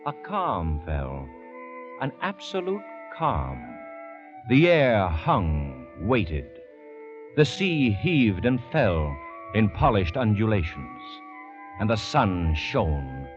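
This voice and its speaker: A solemn male radio narrator speaks very slowly in a low voice that rises and falls dramatically. Loud brass music plays behind him on a dull vintage recording. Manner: solemn, portentous, deliberate pauses. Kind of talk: dramatic scripted narration, old-time radio. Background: loud brass orchestral music.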